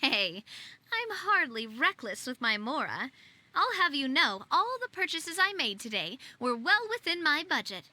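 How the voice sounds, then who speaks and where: A woman's voice: high-pitched, big pitch swings, a little slow, pushed and bright, a little hiss or hum, full character voice. A woman playing a confident, breezy, playful young woman in a video game. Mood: playful, defensive. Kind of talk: video game character acting.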